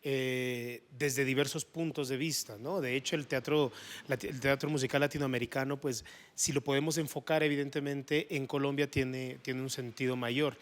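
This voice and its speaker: A man moderating an informal talk, speaking appreciatively in halting, repetitive phrases. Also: mid-range voice, fairly even intonation. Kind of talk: informal interview.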